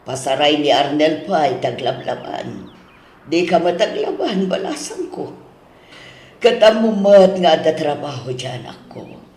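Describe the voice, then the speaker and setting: A man playing a fussy, nagging older mother-in-law in an Ilocano radio drama, with an Ilocano accent and drawn-out, chiding delivery. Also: a little high, sing-song, darkish tone. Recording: roomy, echoing studio drama sound.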